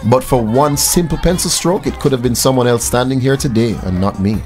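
A man's voice: medium pitch, fairly quick, a little mellow, soft background music, natural voice. Affable Jamaican pilot, played by a man. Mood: earnest, reflective. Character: affable young male airline pilot, humble and earnest. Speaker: actor in a radio drama. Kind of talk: scripted radio drama.

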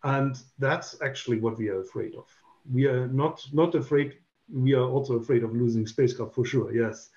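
A man in his fifties, an English speaker, explaining carefully as a panel guest on a video call and pausing on many "uh" fillers. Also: mid-range voice, a little mellow. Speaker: panel guest, space safety expert. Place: home room, indoors. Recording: dull lo-fi call audio.

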